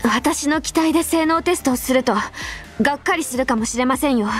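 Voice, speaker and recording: A high, youthful female voice for an anime game , acted by a woman, playing an earnest adjutant devoted to rules. She speaks with formal, prim politeness and a caring undertone. Music plays behind her lines. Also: a little fast, close to an everyday voice. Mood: formal, softly earnest. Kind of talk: Japanese anime game voice lines, intimate delivery.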